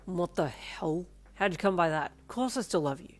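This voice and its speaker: A woman's voice: on the low side, animated, normal speed, no room sound. A woman voicing a young man who teases his partner, then tries to placate her in confusion. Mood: bewildered. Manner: laughing, placating, bewildered.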